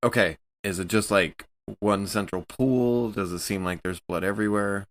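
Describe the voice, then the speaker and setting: A man's voice: lowish voice, normal speed, fairly bright tone. A man talking casually as a player in a tabletop role-playing game.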